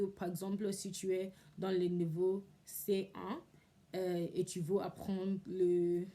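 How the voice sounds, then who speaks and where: Young woman, English native speaker, halting learner's French, slow, mellow warm tone. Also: lowish voice. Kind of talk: casual talk to camera.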